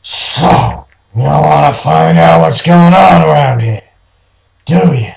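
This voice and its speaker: A man playing a cynical newspaper man in his forties, talking gruff, slangy tough talk in a noir radio play. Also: fairly high pitch, slow, a little mellow, full character voice.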